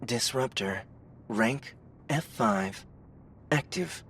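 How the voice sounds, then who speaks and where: An actor voicing an impersonal game system interface in an audiobook, reading out stats in a clipped, formal, deliberate way. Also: medium pitch, animated, a bit bright, slightly rough, exaggerated voice. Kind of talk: LitRPG audiobook system readout.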